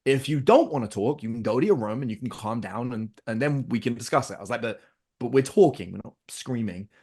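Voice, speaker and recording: A man's voice: medium pitch, lively intonation, fairly quick, dark tone, husky. A middle-aged man telling family stories in casual conversation, animatedly acting out his own lines and his children's. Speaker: podcast guest.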